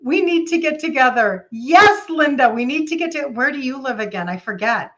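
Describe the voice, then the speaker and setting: A woman in her fifties with a highish voice and a Minnesota accent. She hosts a casual live chat, talking to viewers by name in an excitable, gushing way and repeating phrases for emphasis. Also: normal speed, lo-fi sound. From Minnesota, United States. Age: 50s. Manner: chatty, addresses viewers by name. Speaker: live stream host, health coach.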